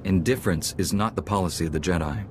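An actor playing a calm, measured knightly hero in a video game. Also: male voice, sits low, a little hiss or hum, close to an everyday voice. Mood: composed. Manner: composed, measured.

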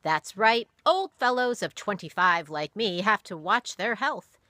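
A woman's voice: mid-range voice, very animated, normal speed, sharp tone, dry recording, voice pushed up. A woman doing a hearty young pastor. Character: young friendly pastor, cheerful, learned.

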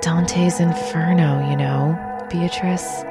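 A woman's voice: low voice, steady tone, slow, over loud music. A woman playing a bitter, cold, menacing villainess who taunts in an audio drama. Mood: cold taunting. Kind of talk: fan audio drama acting.

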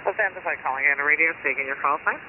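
A man with a slightly high voice talks as an air traffic radio operator in clipped aviation phraseology. He comes through a very narrow shortwave radio channel, with clear static hiss over everything. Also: very fast. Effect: HF radio transmission. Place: received over long-distance HF radio. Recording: narrow-band, hissy shortwave radio reception. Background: loud static hiss.

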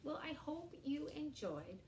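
A woman in her forties leads young children through an animal rhyme with puppets, speaking slowly and in an exaggerated sing-song way. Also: sits high, sing-song, darkish tone, reverberant, a little hiss or hum. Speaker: early years educator. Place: small indoor room against a bare wall.

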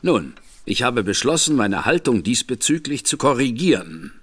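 An actor playing a reserved, earnest gentleman who speaks in a measured, formal way. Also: mid-range voice, sing-song, slightly rough. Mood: grave, earnest. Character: grave, guarded detective's brother, reserved gentleman. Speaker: Mycroft Holmes. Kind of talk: radio play acting.

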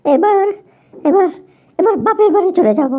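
A woman's voice: very high-pitched, animated, normal speed, dark tone. A woman playing a furious, fed-up wife scolding indignantly in a comic audio drama.

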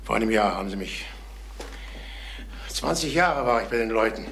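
Halting, defensive middle-aged salesman. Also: lowish voice, lively intonation, a bit bright. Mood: bitter. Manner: halting, repeating himself, defensive. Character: troubled middle-aged travelling salesman hiding his dismissal. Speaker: actor. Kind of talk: German crime radio drama acting. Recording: noisy vintage radio drama recording.

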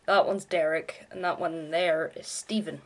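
A woman's voice: deep voice, steady tone, a little slow. A young adult woman vlogging casually in her bedroom, with an irreverent, offhand, sweary way of talking. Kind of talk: casual chatty vlog to camera. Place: small bedroom, indoors.

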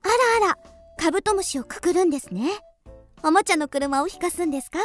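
Actress as a polite young housemaid. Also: female voice, high-pitched, fast, faint music behind, natural voice. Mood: cheerful, helpful. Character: polite, helpful young housemaid, playful with children.

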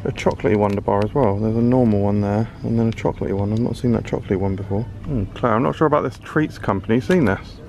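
Gravelly British man chatting in a supermarket. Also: on the low side, fast talker, hardly any pauses, a little mellow. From United Kingdom. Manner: chatty, curious, exclaiming over products. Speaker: food vlogger. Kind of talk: casual running commentary while shopping. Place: large supermarket aisles, indoors.

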